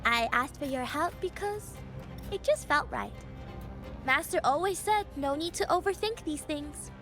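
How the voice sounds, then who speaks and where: A cheerful young wandering Taoist girl from a video game, with a very high voice and an accent. She speaks in a breezy, musing way, as if nothing needs much thought. Music plays clearly behind her. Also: expressive, unhurried. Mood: breezy, friendly. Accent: non-standard accent. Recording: game audio over loud music.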